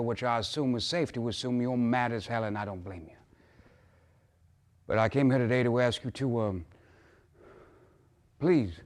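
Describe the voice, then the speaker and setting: A man acting a troubled, folksy father who pleads earnestly in a theatrical dramatic monologue, halting at times and impassioned at others. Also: medium pitch, lightly acted. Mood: nervous, deferential.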